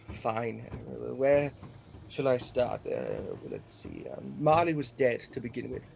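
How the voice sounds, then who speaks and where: A man's voice: medium pitch, big pitch swings, slow pace, vocal fry, slight background noise, heavily acted. A man acting a gloomy, troubled older uncle in a comic radio play, weary and exasperated.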